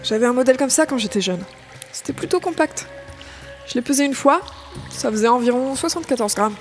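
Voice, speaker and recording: A man's voice: very high-pitched, animated, a little fast. Male actor as practical explorer, impatient, matter-of-fact, audio comedy, faint music behind. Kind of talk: French amateur audio comedy acting.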